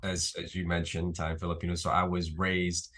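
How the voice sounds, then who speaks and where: A man's voice: low voice, completely flat delivery, normal speed, fairly bright tone. A man whose first language is Italian, a filmmaker and actor, who answers interview questions in a casual, hesitant way with frequent laughs. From Italy. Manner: laughs often, hesitant with fillers.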